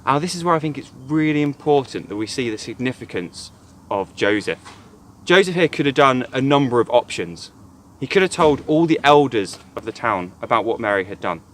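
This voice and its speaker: A man's voice: medium pitch, lively intonation, a little fast, a bit bright, a little hiss or hum. A man, a church youth worker, gives an earnest, reflective devotional talk retelling a Bible story.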